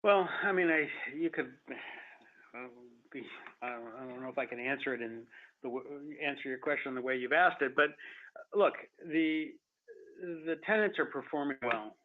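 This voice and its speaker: A man answering analyst questions as a company executive on a call, speaking off the cuff in a halting, stammering way. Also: medium pitch. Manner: halting, stammering, heavy hesitation. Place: telephone conference call. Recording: muffled narrow phone-line audio.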